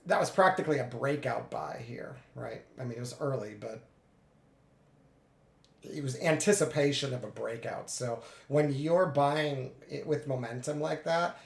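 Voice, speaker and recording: A man getting over a cold, a little congested, narrating his live trades in a relaxed way with many fillers. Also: mid-range voice, moderate pace, gravelly, reverberant. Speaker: futures day trader streaming live. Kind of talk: live trading commentary.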